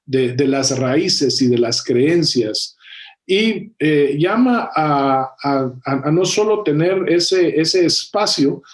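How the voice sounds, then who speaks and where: A man speaking as a panel guest in an analytical discussion, thoughtful, with many filler pauses and self-corrections. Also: on the high side, lively intonation.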